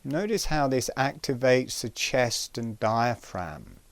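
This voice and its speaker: A man giving guided breathing instruction in a calm, gentle, measured way, pausing between short phrases. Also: medium pitch, no echo.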